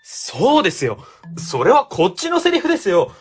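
A man's voice: high-pitched, wildly swooping pitch, normal speed, slightly rough, faint music behind, exaggerated voice. A man playing an earnest, exasperated young civil servant in a radio comedy drama, speaking politely. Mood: exasperated, pleading.

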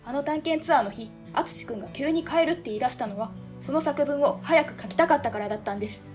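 A woman's voice: high voice, quick pace, soft background music, close to an everyday voice. A woman playing a polite, earnest young ward office clerk who explains things carefully.

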